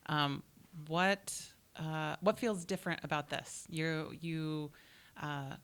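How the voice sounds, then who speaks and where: A woman hosts an interview with a notably low voice. She asks questions in a friendly, encouraging way and keeps the guest going with short affirmations. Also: slow pace, fairly bright tone, clear, resonant tone. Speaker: newspaper editor, interviewer. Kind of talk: interview host.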